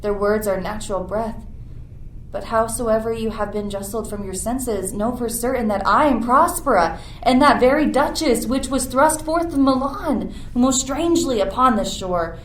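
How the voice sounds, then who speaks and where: A woman playing a commanding exiled duchess and mother in a Shakespeare radio play, speaking formally and deliberately. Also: a little high, natural voice. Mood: stately. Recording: noisy recording with ambient sound texture.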